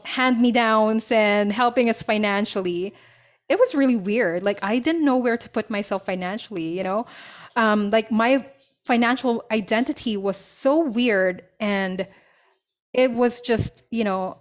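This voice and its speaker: A young adult woman from the Philippines, a business coach talking as a podcast guest, telling her story in a reflective way with many fillers and restarts. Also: a little high. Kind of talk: casual interview storytelling.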